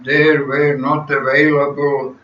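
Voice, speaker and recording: Very old Latvian-accented man, halting speech. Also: fairly high pitch, little pitch movement. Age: 100s. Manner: halting, many pauses and "uh" fillers. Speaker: interviewee. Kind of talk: slow recollection of his life story. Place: small room at home, seated in an armchair. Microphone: camera across the room. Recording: echoey room, distant camera mic, dull sound, faint hiss.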